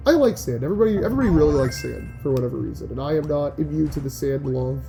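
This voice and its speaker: A young man talks through a video game in a loose, rambling way, tripping over his words and circling back to finish his thoughts. His voice sits a little on the high side and has a faint nasal edge. Bright game music plays clearly underneath him. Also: animated, racing. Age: young adult. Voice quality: slightly nasal. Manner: rambling, chatty, frequent restarts and filler likes. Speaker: gaming channel host. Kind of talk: casual gameplay commentary.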